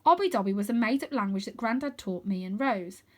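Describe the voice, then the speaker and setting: A woman reading a children's adventure book aloud as storyteller, with expressive, dramatic emphasis. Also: mid-range voice, fairly quick.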